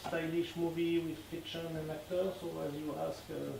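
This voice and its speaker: A French man, a film director, leading a festival Q&A in English with a French accent, halting and searching for words. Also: fairly high pitch, flat delivery, gravelly, noisy background. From France. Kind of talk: festival Q&A after a screening. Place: cinema hall. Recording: echoey cinema hall from far off.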